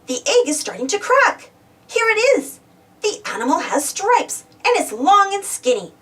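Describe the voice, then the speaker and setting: A woman reading a storybook aloud to children in an expressive, exclamatory way, putting questions to her young listeners. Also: extremely high pitch, normal speed. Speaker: storybook reader. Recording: distant from the mic.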